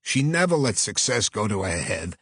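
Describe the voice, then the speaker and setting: A man's voice: lowish voice, moderate pace, breathy edge, no echo, clean studio sound, exaggerated voice. A man voicing an earnest, effusive New York talent agent with a Brooklyn accent in an audiobook. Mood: earnest, persuasive. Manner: effusive, flattering, salesman-like. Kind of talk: audiobook character voice.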